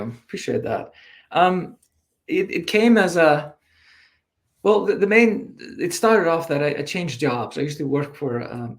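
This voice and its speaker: A man from Iceland, a native Icelandic speaker, talking casually in English as an interview guest. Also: fairly high pitch. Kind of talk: casual chat.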